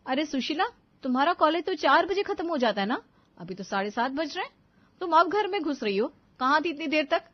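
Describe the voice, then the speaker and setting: Casting: woman playing put-upon elder daughter-in-law, fairly high voice, wry and reproachful, cartoon drama, muffled sound. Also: sing-song, fairly quick. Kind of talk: animated family story acting.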